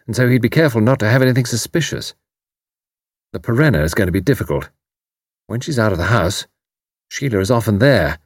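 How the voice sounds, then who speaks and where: A man voicing a brisk, resourceful Englishwoman in an audiobook, reasoning aloud with an English accent. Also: fairly low pitch, no room sound, studio quality. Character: brisk, resourceful British woman amateur spy, practical.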